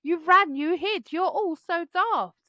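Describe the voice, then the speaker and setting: Woman acting cheeky, shouty high-pitched little boy dinosaur. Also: slow, dry recording, full character voice. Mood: teasing. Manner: shouty, teasing, boastful. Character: cheeky young boy dinosaur crying false alarms.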